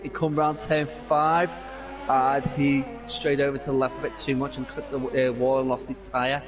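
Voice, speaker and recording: A man with a Scouse accent co-commentating on a sim race, calmly explaining racing lines, lap times and qualifying rules. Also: mid-range voice, normal speed, over music.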